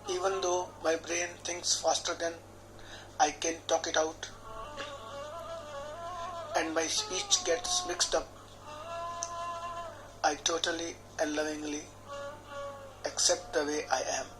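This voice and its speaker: A man, a healer guiding a tapping session in a slow, measured, soothing way, speaking repeated affirmations phrase by phrase. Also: a little high, little pitch movement, low-quality recording. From India. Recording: clear background music under the voice.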